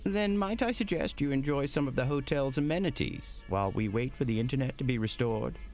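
An actor playing a polite, fussy, squeamish hotel manager in an audio drama, speaking in a formal, deferential and prissy way. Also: male voice, medium pitch, animated, a little fast, a little mellow, faint music behind, lightly acted. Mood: polite, soothing. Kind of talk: scripted audio drama acting.

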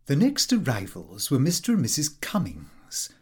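A man's voice: mid-range voice, wildly swooping pitch, normal speed, husky. A man reading a comic diary as scripted narration, in a prim, fussy, precise manner. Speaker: audiobook reader.

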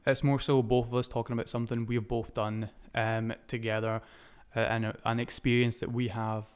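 A man hosting a podcast in a casual, informal chat, often halting and rephrasing himself as he goes. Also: medium pitch, little pitch movement, smooth. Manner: informal, halting, self-correcting. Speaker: podcast host.

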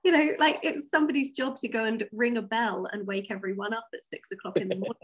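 A woman's voice: fairly high pitch, steady tone, fast talker, breathy edge, muffled recording. A woman talking casually as a writer being interviewed. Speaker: interview guest, science fiction writer.

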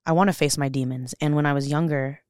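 A woman's voice: low-pitched, fairly even intonation, quick pace, darkish tone, clean tone, no echo, studio quality. A young adult woman, a podcast guest, speaking earnestly and emotionally, with many fillers and restarts. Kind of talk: candid interview conversation.

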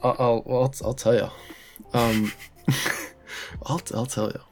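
A man's voice: mid-range voice, fairly even intonation, unhurried. A man co-hosting a podcast in casual chat, blocked-nosed with a sore throat, rambling with many restarts and swearing. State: congested with a head cold, sore throat. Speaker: podcast co-host.

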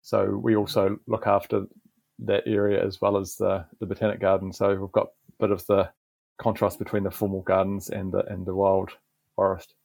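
A middle-aged man with a New Zealand accent, a garden manager, explaining in a relaxed podcast interview with frequent restarts. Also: low-pitched, moderate pace, vocal fry, no echo.

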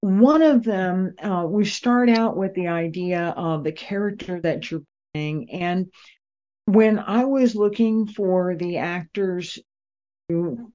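A woman interviewing a guest in a deliberate way, pausing between phrases. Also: lowish voice, dark tone. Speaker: interviewer, radio drama maker. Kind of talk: unhurried interview talk. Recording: dull, lo-fi sound.

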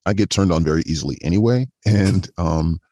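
A man with a voice modulated lower, chatting as a podcast guest in a calm, inviting way, often restarting his sentences. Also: fairly quick, dry recording. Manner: chatty, with frequent restarts and repetitions. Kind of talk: casual interview chat.

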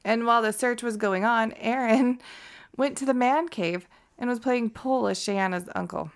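A woman's voice: fairly high pitch, normal speed. A woman from Connecticut in her thirties, chatting bluntly and profanely as co-host of a casual true-crime podcast.

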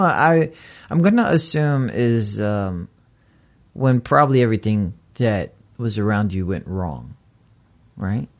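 A male host at a mid-range pitch who keeps the talk loose and casual. He stumbles over his words and restarts them, and he laughs easily as he goes. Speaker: interview host. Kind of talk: casual interview chat.

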